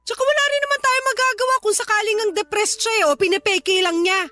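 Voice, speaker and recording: Radio drama role: exasperated working wife, very high-pitched, animated, pleading. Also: female voice, quick pace, exaggerated voice. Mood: placating. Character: weary working mother defending her own mother to her partner. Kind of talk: Tagalog radio drama acting.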